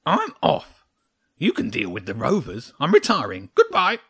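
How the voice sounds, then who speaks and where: A man voicing a grumpy, defensive little old hedgehog guard in a children's audiobook, indignant and mocking in a high, creaky voice. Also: huge pitch swings, moderate pace, fairly bright tone, no room sound, clean studio sound, exaggerated voice. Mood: huffy, mocking. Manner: indignant, spitting, mocking, exclamatory. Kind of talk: children's audiobook character acting.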